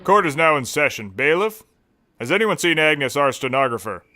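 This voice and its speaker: An actor playing an unbothered courtroom judge, official yet offhand, in a scripted comedy. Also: male voice, a little high, big pitch swings, moderate pace, very noisy.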